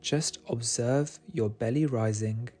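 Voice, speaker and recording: A man guiding a meditation in a soothing, gentle and unhurried manner. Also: mid-range voice. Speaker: meditation guide. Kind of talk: guided meditation narration. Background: soft meditation music.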